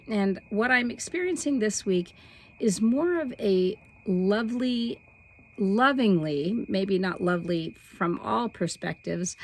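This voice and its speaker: A middle-aged woman with a slightly hoarse voice, hosting a weekly spiritual forecast in a soft, meandering way that stretches key words. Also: medium pitch. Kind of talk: casual spiritual talk to camera.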